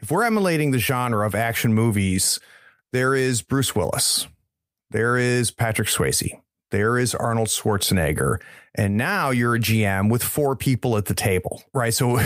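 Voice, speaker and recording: A man's voice: medium pitch, no room sound, studio quality. A man hosting a podcast interview, easygoing in his questions. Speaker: podcast host.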